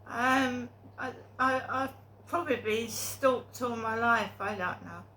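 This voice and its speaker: A woman's voice: a little high, slow pace, sharp tone, a little hiss or hum. A middle-aged woman with a cold and sore throat, talking in a rambling, hesitant monologue full of ums and restarts. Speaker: vlogger.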